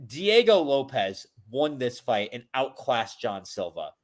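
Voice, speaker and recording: A man's voice: mid-range voice, sing-song, measured pace. A man hosting a casual podcast chat. He rambles, restarts his sentences often and uses many fillers. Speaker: podcast host.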